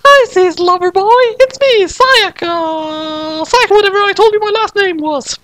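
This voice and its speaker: A narrator voicing a scheming girl who is falsely cheerful and sweetly menacing, in a shrill sing-song falsetto for a parody story. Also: measured pace, sharp tone, dry recording, exaggerated voice.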